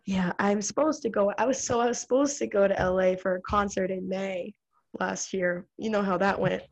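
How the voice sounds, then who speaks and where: A woman's voice: mid-range voice, steady tone, moderate pace, highs cut off. An American woman interviewing a friend in casual chat, talkative and full of fillers, laughing often.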